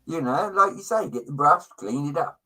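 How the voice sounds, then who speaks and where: British man, middle-aged, slightly high voice, very bright and punchy, chummy, opinionated, slangy livestream chat. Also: expressive, normal speed, slight background noise, poor audio. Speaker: livestream co-host.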